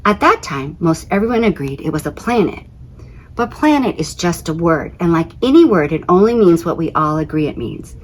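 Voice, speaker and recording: A woman reading aloud as a school librarian in an expressive children's storybook style, with emphatic phrasing. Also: lowish voice, moderate pace, breathy edge, poor audio.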